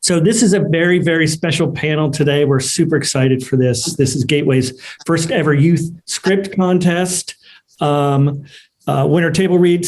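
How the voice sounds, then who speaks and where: A man hosting an event, giving a semi-formal welcome speech with halting, repeated words. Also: fairly high pitch, a little mellow. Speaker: event host and organizer.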